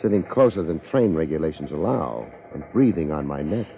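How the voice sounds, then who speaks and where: An actor playing a wry, hardboiled American private investigator, wisecracking drily in a 1950s radio detective drama. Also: male voice, very deep voice, animated, brisk, vocal fry, heavily acted. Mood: sardonic. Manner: wisecracking, dry, sardonic. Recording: muffled 1950s radio recording.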